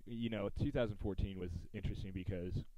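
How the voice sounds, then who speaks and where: A man's voice: lowish voice, steady tone, fast talker, dark tone, no echo, low-quality recording. An American man hosting a podcast, talking sports in a casual, conversational style. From United States.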